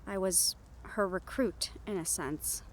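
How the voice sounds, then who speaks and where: A woman playing a gentle, wistful half-siren, half-banshee who confides softly in an intimate roleplay audio, with reflective pauses. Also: medium pitch, slight background noise, lightly acted. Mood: solemn, reflective.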